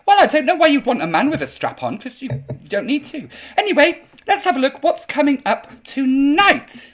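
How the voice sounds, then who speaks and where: A man hosting a live chat show in a camp drag persona, sounding a bit croaky. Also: very high-pitched, very animated, normal speed, a bit bright. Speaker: drag queen host. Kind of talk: live-streamed chat show, reading viewer comments.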